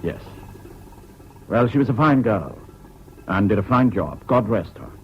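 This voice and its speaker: Sombre official, courteous and regretful, very mobile pitch, muffled vintage radio play. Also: male voice, sing-song, measured pace. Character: discreet, sombre English official bearing bad news. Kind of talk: 1970s British radio drama acting. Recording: dull, noisy old radio recording.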